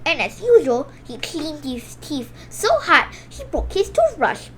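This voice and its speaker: A woman's voice: sits high, sing-song, measured pace, a bit bright. A woman reading a children's picture book aloud expressively, exclaiming the sound words and sometimes stumbling and correcting herself. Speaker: storybook reader for a children's video channel.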